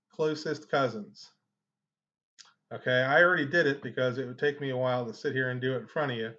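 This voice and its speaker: Guy walking thru a software tutorial, chatty and explanatory, kinda muffled lo-fi mic. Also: mid-range voice. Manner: conversational, explanatory. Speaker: genealogy tutorial host. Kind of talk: informal screen-share tutorial. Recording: dull lo-fi microphone sound.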